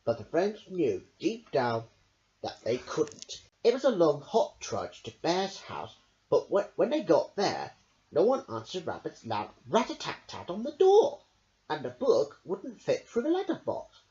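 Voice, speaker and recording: A man reading a picture book aloud in a slow, very lively storytelling voice. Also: fairly high pitch. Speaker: storybook reader. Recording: dull lo-fi recording.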